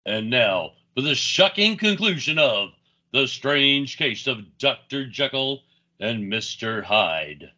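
A man's voice: mid-range voice, big pitch swings, slow, bright, forceful tone, highs cut off. A man narrating a radio serial in a theatrical, suspenseful manner.

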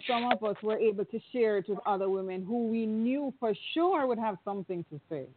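A woman's voice: mid-range voice, narrow, tinny audio. Lady on a radio panel, thoughtful, lots of restarts, sounds like it's through a phone. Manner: reflective, halting with many restarts. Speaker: panel guest.

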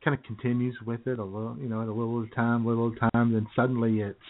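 A man's voice: mid-range voice, flat delivery, very fast, very noisy. A man co-hosting a comedy radio show with wry, casual banter, often repeating his phrases. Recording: tinny, narrow phone-like sound.

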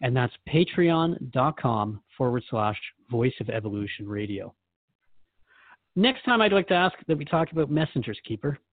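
A man's voice: mid-range voice, big pitch swings, normal speed, dry recording, phone-quality sound. Earnest male radio host, dry close sound. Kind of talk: conversational interview with a spirit guide.

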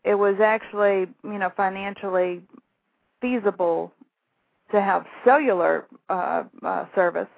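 A middle-aged Southern woman with a gravelly, creaky voice tells her stories in a rambling, self-deprecating way, with laughs along the way. The sound is a tinny phone line, close and dry. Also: mid-range voice, steady tone, a little slow, no echo. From Shreveport, Louisiana. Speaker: author and guest. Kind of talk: phone interview, storytelling.

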